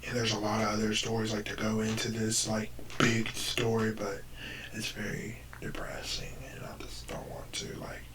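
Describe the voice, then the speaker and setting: A young American man with a deep, damaged voice that drops into breathiness, telling a personal story casually and hesitantly to the camera. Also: completely flat delivery, normal speed, in a whisper. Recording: noisy, distant microphone.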